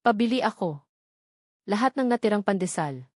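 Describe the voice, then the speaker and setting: A woman voicing a calm, kind male construction worker, gentle but firm, in a narrated love story. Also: medium pitch, moderate pace, no room sound, studio quality, close to an everyday voice. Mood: friendly, helpful. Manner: gentle, reassuring, plain-spoken.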